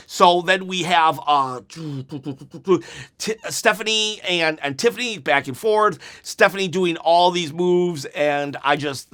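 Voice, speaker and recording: A man hosting a wrestling channel, giving an unedited review right after a show, excitable and rambling, his voice going hoarse. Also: a little high. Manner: excitable, rambling, repeats himself.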